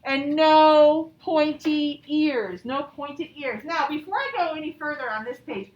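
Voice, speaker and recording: A woman's voice: high voice, measured pace, muffled recording. A woman elementary school teacher instructing young children and reading aloud, often checking understanding with questions. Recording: roomy, distant mic with faint noise.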